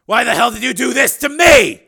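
A man voicing a bitter, wounded cyborg policeman in an audiobook, gruff, sarcastic and explosive. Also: a little high, fast talker, voice pushed up, heavily acted. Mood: furious shouting. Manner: harsh, sarcastic, forceful. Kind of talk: dramatic audiobook character acting.

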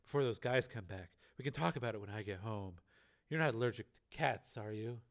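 A man voicing a tough, dry-witted showgirl who talks low and quietly. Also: brisk, natural voice. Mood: hushed. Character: dry, tough-talking older showgirl, woman.

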